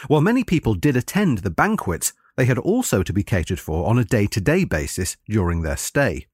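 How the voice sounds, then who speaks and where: A man reading a scripted history account in a measured, formal and articulate way. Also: on the low side, breathy edge, no room sound, studio quality. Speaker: history lecturer and narrator.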